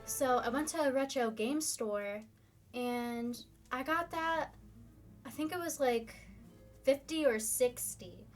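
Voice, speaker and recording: A young woman, a bit hoarse, chatting casually with her viewers on a live stream. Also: high-pitched, takes it slowly, soft background music. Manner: chatty, upbeat, reads viewer comments. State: slightly hoarse, losing her voice.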